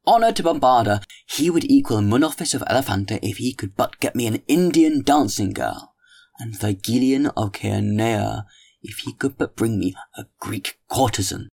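A man's voice: mid-range voice, lively intonation, moderate pace, creaky, darkish tone, pitched above the performer's own voice. A man voicing a witty young man holding forth at dinner, speaking with a theatrical, mock-solemn grandeur. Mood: grandiloquent.

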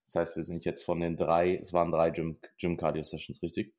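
A man's voice: sits low, monotone, fairly quick, a little mellow. A man hosting a casual podcast over a call, explaining plans as a coach with many hesitant fillers. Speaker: podcast host and prep coach. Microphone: remote call setup.

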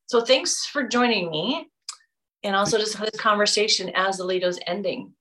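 A woman's voice: mid-range voice, moderate pace, pushed and bright, rough recording. A woman hosting a podcast interview, chatting casually and enthusiastically, rambling a little as she talks. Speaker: podcast host. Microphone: video call.

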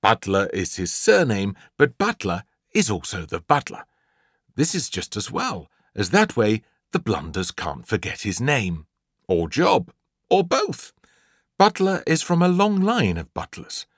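A man's voice: fairly low pitch, wildly swooping pitch, unhurried, breathy edge, dry recording, studio quality. A man with an English accent narrating a comic children's story in a theatrical, emphatic way, drawing out key words. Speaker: audiobook narrator.

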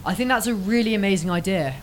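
A woman's voice: mid-range voice, quick pace, slight background noise. A British woman hosting a casual show with young people. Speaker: host of a youth show.

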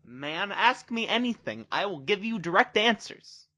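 A young man in casual banter, joking and swearing, with many fillers when he slows down. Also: high-pitched, sing-song, sharp tone. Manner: joking, swears often, mumbles with fillers when he turns earnest.

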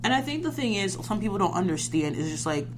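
A woman's voice: medium pitch, very animated, fast talker. A young woman hosting a casual conversation, telling stories in an emphatic, informal way. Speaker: podcast host. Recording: noisy recording with clear hum.

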